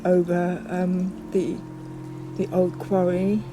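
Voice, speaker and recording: A woman speaking reflectively and unscripted in a documentary, thoughtful and halting, with frequent pauses. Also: on the low side, little pitch movement, over music. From northern England. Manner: halting, pausing often, thoughtful. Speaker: poet.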